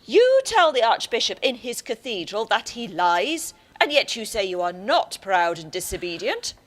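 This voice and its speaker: An authoritarian prince of the church, played by a woman in a radio play. The voice sits fairly high, and the delivery is severe, formal and full of admonishment. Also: sing-song, normal speed. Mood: stern rebuke. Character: stern, authoritarian high churchman, warning and judgemental.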